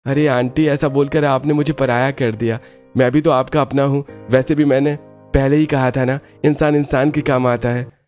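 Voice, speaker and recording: A man's voice: medium pitch, steady tone, moderate pace, dull audio, soft background music, voice pushed up, close to an everyday voice. A man voicing a helpful, lovestruck young man in a cartoon story. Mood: affectionate.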